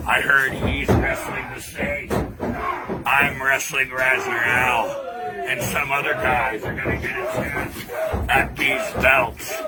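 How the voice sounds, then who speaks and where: A man, a pro wrestler, taunting and shouting threats in a promo. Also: mid-range voice. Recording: loud background noise.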